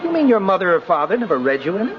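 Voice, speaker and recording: An actor playing a friendly, courteous bachelor neighbor in a 1950s radio drama, speaking politely with genial hesitation. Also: male voice, high voice, wildly swooping pitch, racing, soft background music. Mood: playful, friendly. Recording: muffled old 1950s radio recording.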